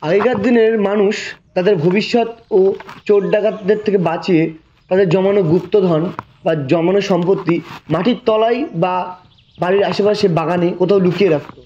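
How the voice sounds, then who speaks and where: A man's voice: high voice, steady tone, fairly quick, dark tone, lo-fi sound, close to an everyday voice. A man with a West Bengal Bengali accent playing a patient detective in an amateur web drama. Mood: explaining, intrigued. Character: male detective, elder-brother figure, explaining patiently.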